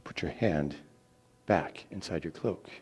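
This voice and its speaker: A man voicing a solemn, commanding male God who speaks with deliberate authority in a dramatic scripture reading. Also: low-pitched, darkish tone, breathy edge, pitched below the performer's own voice, exaggerated voice.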